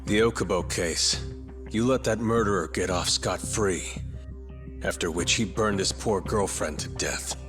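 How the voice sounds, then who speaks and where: Low-voiced, bitter accuser. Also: male voice, a little slow. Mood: accusing. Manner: pointed, needling. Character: bitter, accusing man, pointed and contemptuous. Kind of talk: English video game dub acting. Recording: music under the voice.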